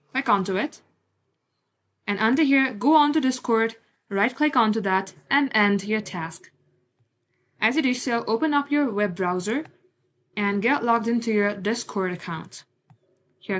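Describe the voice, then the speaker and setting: A woman's voice: mid-range voice, a bit bright. A woman narrating a screen-recorded tech tutorial, giving plain step-by-step instructions.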